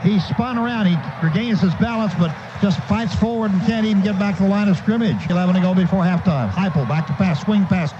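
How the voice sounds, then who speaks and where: A man's voice: high-pitched, brisk, few pauses. An American man doing live radio play-by-play of a football game, speaking in clipped running phrases that swell on big plays. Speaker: play-by-play announcer. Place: stadium broadcast booth. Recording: muffled noisy old radio broadcast.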